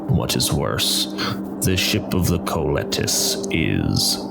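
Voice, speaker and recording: A game master voicing a powerful, menacing crime boss who speaks slowly with heavy pauses. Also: male voice, big pitch swings, exaggerated voice. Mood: grave. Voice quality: deep, gravelly. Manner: slow, weighty pauses. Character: powerful older man, slow, grave and menacing crime boss. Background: loud music.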